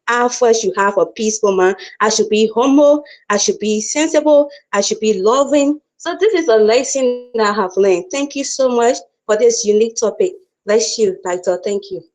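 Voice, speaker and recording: A young woman with a Liberian accent speaks in a fairly high voice. She gives earnest, appreciative feedback as a participant on an online call. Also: a little mellow. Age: young adult. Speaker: training participant. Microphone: video call microphone.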